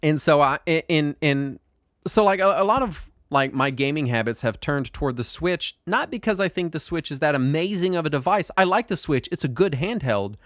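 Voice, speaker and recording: A man's voice: a little high, animated, moderate pace, fairly bright tone, no echo, studio quality. A man hosting a casual podcast, a bit congested from a cold, talking in a rambling way with lots of ums and restarts. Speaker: podcast host.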